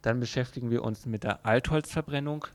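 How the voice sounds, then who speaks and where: A man presenting a radio news programme as host, in an informal, conversational and somewhat halting way. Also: medium pitch.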